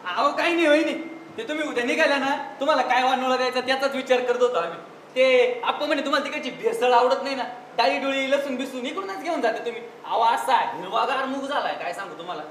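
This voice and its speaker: Guy playing a rustic farmer uncle in a play, talks fast, jokey and colloquial, echoey stage with a bit of noise. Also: extremely high pitch, a bit bright. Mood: jovial. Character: teasing, talkative village farmer, family uncle. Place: theatre stage at a youth festival.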